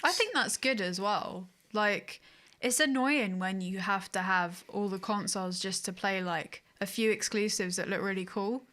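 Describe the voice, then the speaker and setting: A woman with a medium-pitched voice talks as a podcast co-host. Her delivery is informal and conversational, like chatting about games with someone she knows. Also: moderate pace, a bit bright. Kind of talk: casual podcast chat about video games.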